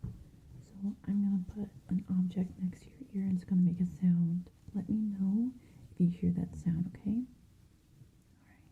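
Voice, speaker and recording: A woman whispering softly and breathily in an ASMR medical roleplay, gently giving reassuring step-by-step instructions close to the mic. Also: fairly low pitch, fairly even intonation, a little slow, pauses often, narrow, tinny audio. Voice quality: soft, breathy, mostly unvoiced whisper. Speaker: ASMR creator playing a hearing examiner.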